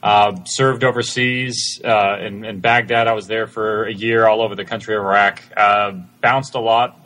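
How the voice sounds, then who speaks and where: A man's voice: on the low side, flat delivery, moderate pace, bright, forceful tone. A man answering questions casually as a creator being interviewed on a podcast. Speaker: interview guest, audio drama creator.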